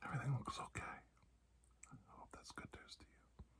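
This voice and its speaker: A man doing soft-spoken ASMR roleplay as a calm doctor, speaking gently and reassuringly, often dropping into a whisper. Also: brisk. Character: calm, reassuring male doctor examining a patient. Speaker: ASMR performer playing a doctor.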